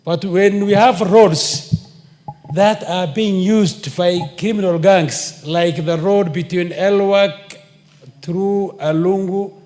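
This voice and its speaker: A Kenyan man speaks very slowly and deliberately in a parliamentary debate, his voice sitting a little high. The chamber's long reverb lingers behind his measured phrases at the desk microphone. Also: fairly even intonation, a little mellow. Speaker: member of parliament. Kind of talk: parliamentary speech.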